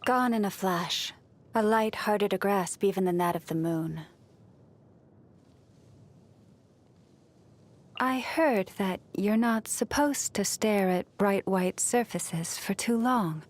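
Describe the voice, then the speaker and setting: Game casting: actress as a kind, serene priestess who guards nature; soft, soothing, reverent delivery at a slow pace, mid-range female voice. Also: medium pitch, close to an everyday voice. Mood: serene, tender. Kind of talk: scripted video game character voice lines.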